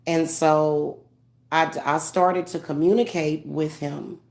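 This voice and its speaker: A woman speaking as a spiritual medium in a casual monologue, congested, halting with many fillers and restarts, earnest and tender. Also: sits low, fairly even intonation, darkish tone.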